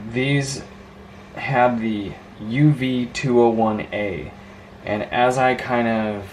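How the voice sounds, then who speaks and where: Low-voiced man with noisy background. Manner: enthusiastic, marveling, rambling. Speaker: vintage radio hobbyist presenting a video. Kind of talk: informal hands-on show-and-tell commentary.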